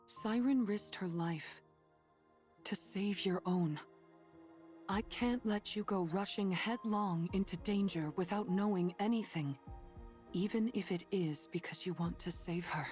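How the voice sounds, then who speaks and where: A woman playing a stern, protective female android soldier in an anime game dub, speaking in a measured, grave, firm way. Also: mid-range voice, a little mellow, soft background music, close to an everyday voice.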